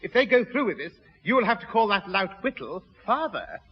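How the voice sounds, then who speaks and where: A man's voice: high-pitched, very animated, brisk, breathy edge, full character voice. An actor playing a pompous, fussy, easily indignant man with an English accent and formal manner, in a 1960s radio sitcom. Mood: stern. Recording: muffled, reverberant old radio recording.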